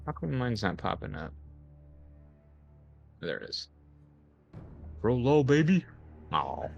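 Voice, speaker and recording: A man's voice: mid-range voice, sing-song, takes it slowly, creaky, darkish tone, lo-fi sound, faint music behind. A man playing a tabletop game, chatting casually in an inquisitive, questioning manner.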